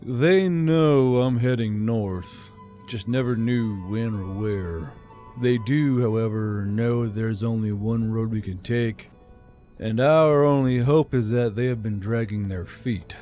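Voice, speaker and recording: Male narrator as stern military leader, guarded, understated and flat; fantasy audiobook, faint music bed. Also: slow, close to an everyday voice. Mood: somber. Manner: flat, low-key, murmuring. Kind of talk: audiobook character dialogue.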